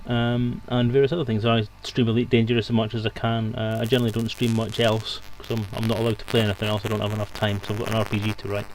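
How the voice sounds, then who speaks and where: A man's voice: lowish voice, little pitch movement, quick pace, hardly any pauses, a little hiss or hum, rough recording. Man hosting a casual livestream interview. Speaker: host and streamer.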